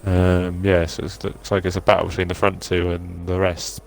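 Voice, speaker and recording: A man with a low, deep voice calling a sim race on a live stream. He keeps it easygoing and jokey, talking casually with fillers as the cars get away. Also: completely flat delivery, moderate pace, creaky, a little hiss or hum. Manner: easygoing, joking, calls the race start. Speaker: co-commentator.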